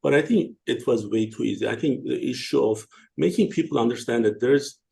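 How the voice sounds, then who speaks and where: A man, hosting an interview and asking questions in a hesitant, halting way with many fillers. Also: mid-range voice, creaky, dark tone. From Turkey. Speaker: host.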